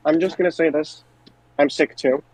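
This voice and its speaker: A man's voice: on the high side, fairly even intonation, moderate pace, darkish tone, low-quality recording. A man co-hosting a podcast, speaking casually and informally as he discusses wrestling. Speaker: podcast co-host.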